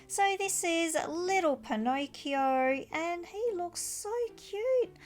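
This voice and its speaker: A woman's voice: very high voice, expressive, takes it slowly. A woman giving a casual, chatty review of a toy brick set, enthusiastic and informal, with exclamations.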